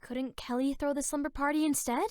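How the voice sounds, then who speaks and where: A woman's voice: high voice, fairly even intonation, brisk, darkish tone, no echo, studio quality, lightly acted. A woman voicing a serene new age teenage girl in a cartoon, airy, flowery and affected in manner. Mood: airy, pleading.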